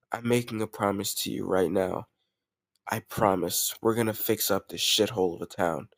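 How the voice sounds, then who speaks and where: A man's voice: lowish voice, dry recording, studio quality, close to an everyday voice. A man playing a confident, doting mafia boss boyfriend in an intimate roleplay audio, speaking affectionately and directly to a lover. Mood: tender, resolute. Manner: affectionate, self-assured, addressing a lover directly. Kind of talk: intimate roleplay audio, scripted romantic monologue.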